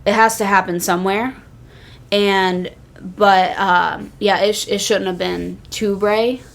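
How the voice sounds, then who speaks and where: A woman's voice: mid-range voice, steady tone, a little slow, creaky. Woman podcast host in casual discussion.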